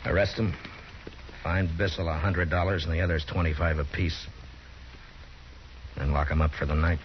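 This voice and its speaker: A man playing a very deep-voiced, stern marshal. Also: noisy background, close to an everyday voice. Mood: firm. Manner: steady, measured, firm. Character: calm, stern male frontier marshal. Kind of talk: 1950s radio drama acting. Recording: lo-fi 1950s radio broadcast with music.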